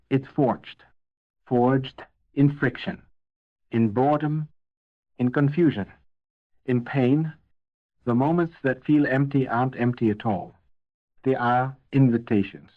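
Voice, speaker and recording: A man with a mid-range voice narrates a scripted motivational speech. He is intense and emphatic, landing short, punchy phrases one after another. The sound is narrow and lo-fi, as if through a phone. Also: mid-range voice. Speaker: motivational speaker.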